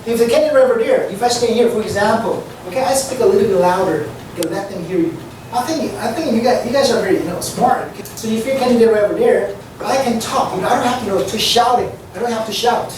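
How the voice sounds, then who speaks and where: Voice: mid-range voice, fast talker. An aviation instructor with a mother tongue, lecturing a class in loose, repetitive full of "okay" and "you know". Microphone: distant room mic. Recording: echoey classroom from far off, noisy.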